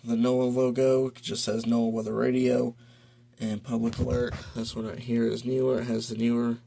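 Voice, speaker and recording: A man talking casually and haltingly through an unscripted show-and-tell as a hobbyist. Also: mid-range voice, little pitch movement, gravelly, warm tone. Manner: halting, hesitant, informal. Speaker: hobbyist video host showing a weather radio collection. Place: indoor room at home. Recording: noisy home video with clicks.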